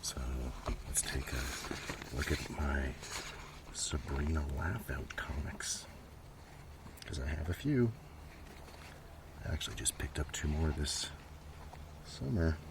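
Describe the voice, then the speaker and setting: Male ASMR host, very low and slow, soft rambling show-and-tell with whispered asides, outdoor setting. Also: vocal fry. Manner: unhurried, muttering asides, often drifting into whisper. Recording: noisy outdoor recording, breeze and handling sounds. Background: steady ambient noise, breeze, writing and page sounds.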